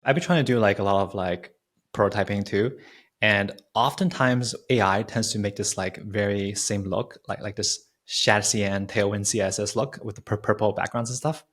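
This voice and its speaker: A man's voice: fairly low pitch, normal speed, a bit bright. A man hosting an interview in casual chat, asking informal questions and repeating words as he forms them. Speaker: interview host.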